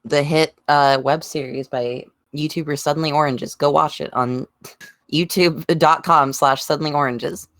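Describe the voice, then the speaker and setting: A man's voice: on the high side, steady tone, normal speed, a bit bright, no room sound, studio quality. A man taking part in a casual group podcast chat as a panelist.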